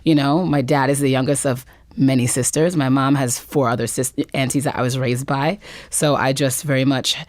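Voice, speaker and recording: Low-voiced woman in dry, casual conversation. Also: fairly even intonation, normal speed, darkish tone, dry recording. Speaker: panel guest.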